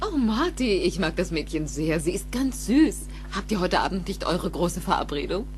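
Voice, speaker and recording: A woman's voice: on the low side, big pitch swings, moderate pace, a little mellow, slight background noise. An actress playing a cheerful, affectionate suburban mother in a film audio drama. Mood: cheerful, fond.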